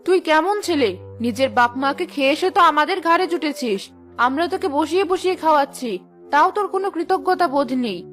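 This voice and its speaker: A woman's voice: high-pitched, animated, fast talker, fairly bright tone, soft background music. A woman voicing a spiteful, scolding village aunt in a cartoon, with a nagging, scornful manner. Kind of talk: Bengali cartoon voice acting.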